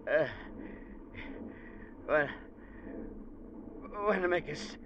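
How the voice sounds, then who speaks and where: An actor playing a badly injured, dying ship captain in an old radio drama, weak and in pain, speaking in broken phrases. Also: male voice, a little high, slow pace, a bit bright. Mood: pained, failing. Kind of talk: 1940s-50s radio drama acting. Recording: muffled, noisy vintage radio recording.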